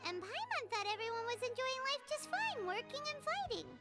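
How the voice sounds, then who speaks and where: A woman's voice: sing-song, a little fast, loud music behind the voice, full character voice. A woman voicing a tiny, chirpy female companion in a game who talks about herself in the third person. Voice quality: very high, squeaky.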